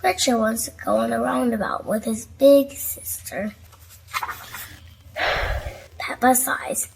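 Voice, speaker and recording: Slow, high-voiced woman reading a children's story. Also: vocal fry, breathy edge, poor audio. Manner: slow, expressive, addressing young children. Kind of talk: scripted read-aloud bedtime story narration.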